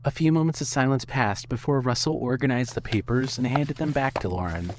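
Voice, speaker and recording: A man's voice: mid-range voice, brisk, a little hiss or hum. A man reading scripted narration for an audio fiction story.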